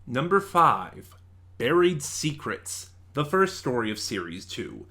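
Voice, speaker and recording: A man's voice: medium pitch, very animated, takes it slowly, bright, forceful tone. Casual American man giving opinionated reviews. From Nevada, United States. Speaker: host of a review channel. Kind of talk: casual opinionated ranking commentary.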